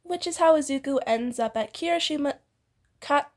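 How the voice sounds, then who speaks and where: A woman's voice: high-pitched, normal speed. A woman reading fan fiction aloud informally, giggly and theatrical, often breaking off into banter.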